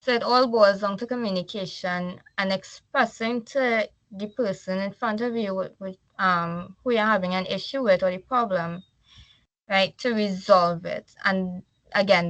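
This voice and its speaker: A woman with a Trinidadian accent walks a class through her slides. She reads each point, then loosens into casual explanation. Her voice is bright and forceful, recorded dry with no room echo. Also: medium pitch, steady tone, moderate pace, muffled recording. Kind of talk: slide presentation.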